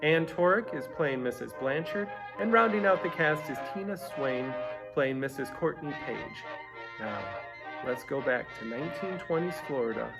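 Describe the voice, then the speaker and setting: A man's voice: a little high, expressive, moderate pace, gravelly, rough recording. Chatty man over guitar music. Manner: playful, chatty. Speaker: host introducing the play. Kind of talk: casual scripted introduction.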